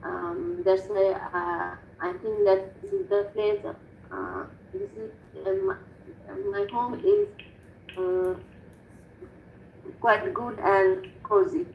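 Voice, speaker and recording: A woman's voice: medium pitch, big pitch swings, slow. A woman answering practice speaking-test questions over a video call, hesitant with many fillers and restarts. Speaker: IELTS student, mother of two. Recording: dull echoey call audio with faint noise.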